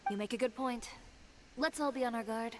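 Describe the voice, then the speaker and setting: An actress playing a composed, confident fashion designer in a video game, with a measured, matter-of-fact delivery. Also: female voice, on the high side, a little hiss or hum, close to an everyday voice. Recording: game music behind the voice.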